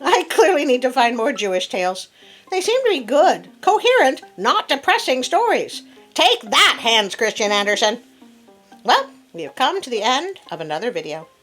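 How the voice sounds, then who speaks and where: A woman hosting a story channel, expressive and chatty as she reads tales and talks to her viewers. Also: sits high, normal speed. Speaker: host and storyteller. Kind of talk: storybook reading with casual commentary to viewers. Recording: music playing behind the voice. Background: instrumental classical music.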